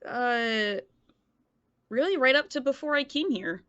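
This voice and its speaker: A woman playing a forgetful, earnest young vampire in a tabletop game, stammering and halting as she talks. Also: sits high, animated, a bit bright, muffled recording. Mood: confused, unsure.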